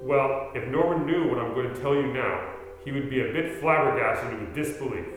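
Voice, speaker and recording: A man's voice: medium pitch, close to an everyday voice. Echoey, earnest middle-aged storytelling man, acted by a man. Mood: intrigued. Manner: deliberate, formal storytelling delivery. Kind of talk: stage radio play acting. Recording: very echoey hall, music behind.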